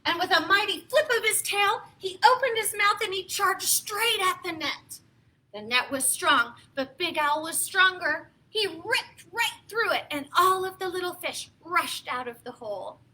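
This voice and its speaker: A woman's voice: extremely high pitch, measured pace, low-quality recording. A woman hosting a children's storytime, reading aloud in an exaggerated, playful, theatrical way. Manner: exaggerated, playful, expressive delivery. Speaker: children's storytime host and reader.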